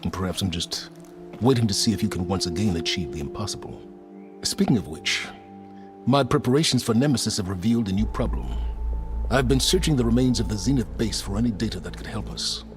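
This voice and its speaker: A man playing a grave, cunning, condescending older scholar in a video game, speaking in a formal, measured, dryly sardonic way. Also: on the low side, animated, music in the background, close to an everyday voice. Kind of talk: cinematic video game acting.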